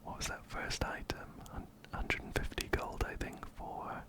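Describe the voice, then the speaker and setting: A man whispering softly and breathily into a close binaural microphone, giving gentle ASMR game commentary and often repeating words rhythmically. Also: normal speed. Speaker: ASMR gaming video host. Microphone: close-up binaural microphone, ear to ear.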